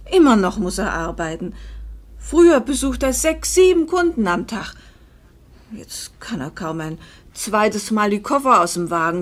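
An actress playing a weary, protective older mother in a 1950s German radio drama, earnest, emphatic and pleading. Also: mid-range voice. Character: weary, protective older mother defending her exhausted husband.

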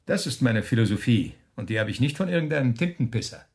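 A man acting in a German radio play as a smug, menacing officer who taunts a prisoner with mocking, drawn-out questions. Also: medium pitch, very animated, darkish tone. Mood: boastful, contemptuous.

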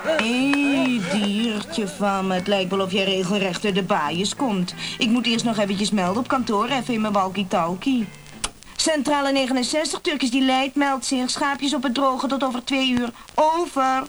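A woman's voice: on the high side, normal speed, a little hiss or hum, close to an everyday voice. An actress playing a chatty, businesslike motel call girl in a radio play, coy and teasing with endearments. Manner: coy, teasing, peppered with endearments and foreign phrases. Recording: music behind.

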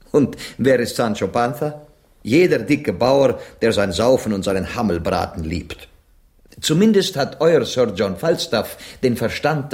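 An actor playing a passionate, philosophical Spanish gentleman of centuries past in a German radio drama, declaiming with Spanish exclamations thrown in. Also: fairly low pitch, lightly acted.

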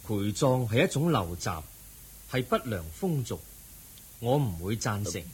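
A man playing a calm, firm holy teacher in a Cantonese radio drama, speaking in measured tones with a Hong Kong accent. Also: mid-range voice, slight background noise.

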